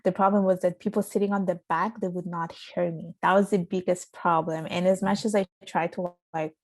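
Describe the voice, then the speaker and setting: A woman with a soft, quiet voice giving conversational answers as an interview guest. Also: steady tone, brisk. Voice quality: soft, low-volume voice.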